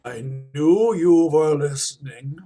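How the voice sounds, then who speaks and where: A man acts a resolute vampire hunter in a high, husky voice. He speaks slowly and emphatically, grave and defiant, in old-fashioned radio play style. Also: lively intonation, warm tone, soft background music. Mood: grave, steady. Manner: emphatic, dramatic. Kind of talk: theatrical radio play acting.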